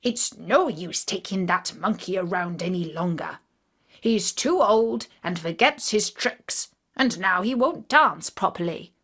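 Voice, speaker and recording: A woman's voice: fairly low pitch, expressive, unhurried, fairly bright tone, slightly rough, exaggerated voice. Woman voicing a grumpy, hard-hearted showman. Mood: grumpy, resolved. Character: bad-tempered, heartless travelling showman, adult man.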